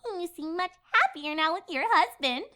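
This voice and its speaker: A woman voicing a goofy, excitable female cartoon pony with a chirpy, exaggerated delivery in a comedic reading. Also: very high voice, normal speed, exaggerated voice. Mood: chatty, scatterbrained. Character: bubbly, goofy pink cartoon mare, scatterbrained and excitable. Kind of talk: acted comedic fan fiction reading.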